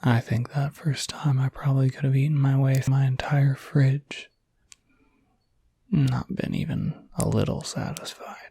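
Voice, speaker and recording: A man playing a gentle, easygoing werewolf caretaker in an ASMR roleplay, whispering softly and soothingly in a halting, intimate way. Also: medium pitch, steady tone, no echo. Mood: caring, reassuring. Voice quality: breathy, soft whisper.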